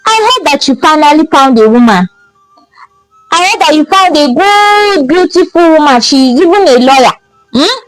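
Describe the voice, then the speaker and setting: A woman's voice: high voice, expressive, moderate pace, dry recording, soft background music, poor audio. A woman with a Nigerian accent voicing a no-nonsense mother who nags her grown son with emphatic questions in a folktale. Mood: probing, scolding. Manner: emphatic, nagging rhetorical questions.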